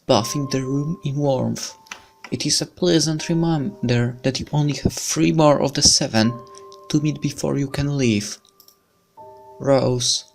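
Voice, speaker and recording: Slow gravelly let's player reading a story game out loud, kinda hushed n dramatic, music under it. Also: medium pitch. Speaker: let's player narrating a visual novel. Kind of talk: slow dramatic read-aloud of game text with casual asides.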